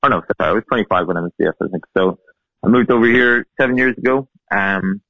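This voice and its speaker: A man in his thirties from Ireland with a softened Irish accent, a field hockey coach chatting as a guest and telling his story with easy laughs. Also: lowish voice, quick pace, no echo. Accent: Irish, softened by years in the US. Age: 30s. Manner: relaxed, chatty, laughs while telling stories. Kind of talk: casual interview storytelling. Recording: tinny phone-like line, dry.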